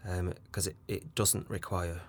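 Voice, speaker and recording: A man, a casting director being interviewed on a podcast, who talks with many fillers, pauses and small laughs. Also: sits low, flat delivery, slight background noise.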